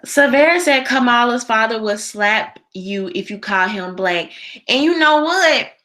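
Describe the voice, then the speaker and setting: A woman's voice: a little high, animated, a little slow, sharp tone. An American woman hosting a casual live chat, talking in a colloquial, opinionated and emphatic way as she responds to viewers. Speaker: live show host. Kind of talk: casual livestream chat, reading and answering viewer comments.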